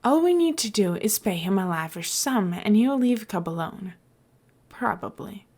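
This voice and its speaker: A woman's voice: mid-range voice, expressive, darkish tone, husky. Woman playing a breezy, theatrical male mayor. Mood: casual, assured. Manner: nonchalant, playful, drawn-out emphatic delivery.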